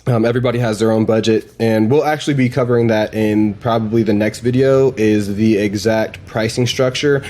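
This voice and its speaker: Mellow, deep-voiced man chatting casually. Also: steady tone, fairly quick, hardly any pauses. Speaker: video host demonstrating AI voice agents. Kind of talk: casual tutorial chat.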